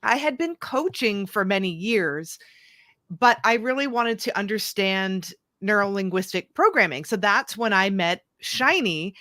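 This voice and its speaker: A woman's voice: medium pitch, lively intonation, measured pace, sharp tone, no echo, clean studio sound. A woman coach in her thirties, a guest telling her story in an earnest, reflective way. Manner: earnest, reflective, restarts and fillers. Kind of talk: conversational interview.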